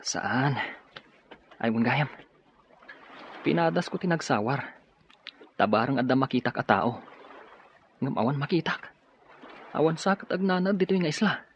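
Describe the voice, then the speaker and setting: A man's voice: medium pitch, expressive, unhurried, long pauses. A resourceful male castaway in a radio drama. He speaks in a middle register, matter-of-fact and reassuring. The recording is muffled, with faint ambience. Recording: dull audio with faint ambient noise.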